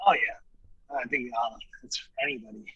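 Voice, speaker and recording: A man's voice: mid-range voice, fairly even intonation, normal speed, sharp tone, husky, muffled recording. A man in his late thirties, a wrestler guest on a podcast, chatting casually and laughing as he tells stories. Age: 30s. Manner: laid-back, laughing, profane. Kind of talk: casual chat.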